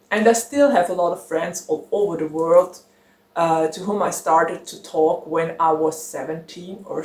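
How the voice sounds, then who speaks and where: Young woman chatting slow and giggly, lots of ums, roomy echo. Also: lowish voice. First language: German. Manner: chatty, giggly, rambling with many fillers. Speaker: book channel host. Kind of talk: casual chat to camera.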